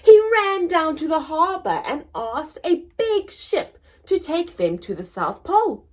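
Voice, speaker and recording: A woman reading a picture book aloud to young children as a story time host, expressive and deliberate, drawing out words. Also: high voice.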